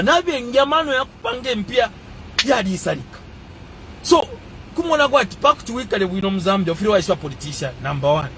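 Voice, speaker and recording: A man speaks emphatically with a high, lively voice, switching between languages. Faint traffic noise runs in the background outdoors. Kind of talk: passionate monologue.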